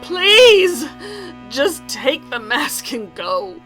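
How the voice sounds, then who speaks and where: A stern, loving, protective mother in an audio drama. She has a slightly high voice and moves very expressively in pitch as she fusses firmly. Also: big pitch swings, drawn-out words, a bit bright, soft background music, heavily acted. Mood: alarmed, pleading. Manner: firm, motherly fussing.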